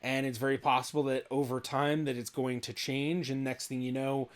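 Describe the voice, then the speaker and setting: A young adult man, a genealogist, explaining test results in a relaxed, thinking-aloud commentary. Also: mid-range voice, moderate pace. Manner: thinks aloud, hedging with "I feel like". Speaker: genealogist reacting to a video.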